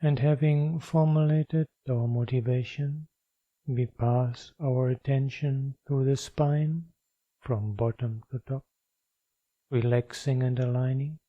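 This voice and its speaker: A man leading a guided meditation as a teacher, speaking in a measured, instructional way with long pauses. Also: mid-range voice, steady tone, lo-fi sound.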